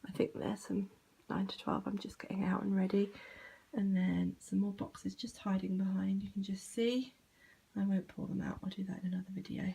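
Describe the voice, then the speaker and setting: Casting: young British woman, husky with some creak, informal home vlogger, self-deprecating and unpolished. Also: medium pitch, level tone, moderate pace, warm tone, low-quality recording. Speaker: vlogger giving a home tour. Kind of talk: casual informal chat to camera.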